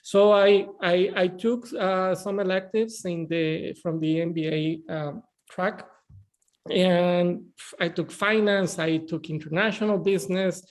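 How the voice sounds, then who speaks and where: A man's voice: high-pitched, steady tone, measured pace. A middle-aged man from northern Mexico, speaking English as a guest on a video-call interview, often restarting and repeating words. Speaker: bank CEO, guest.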